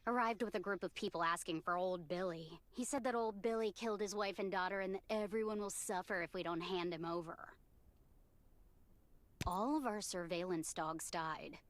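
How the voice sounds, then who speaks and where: A woman's voice: fairly low pitch, normal speed, no echo, natural voice. An actress playing a blunt, wary survivor townswoman in a video game, speaking matter-of-factly. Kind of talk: dramatic video game dialogue.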